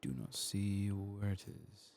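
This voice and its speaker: Very low, soft-spoken man, slow and mellow. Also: slight background noise. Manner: murmured, unhurried, musing to himself. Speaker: video game streamer. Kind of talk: soft-spoken ASMR game playthrough commentary, partly whispered.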